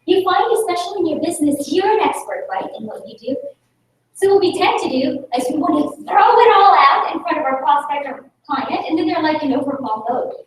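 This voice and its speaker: A high-pitched woman from the Philippines, a native Tagalog speaker, speaks to a group with energy and keeps pulling the audience in with questions. The room is very echoey, and she sounds a little distant from the mic. Faint noise sits under her voice. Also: brisk. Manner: energetic, rhetorical, engaging the audience. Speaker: speaker at a club meeting. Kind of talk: public speaking talk with Q&A. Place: meeting room.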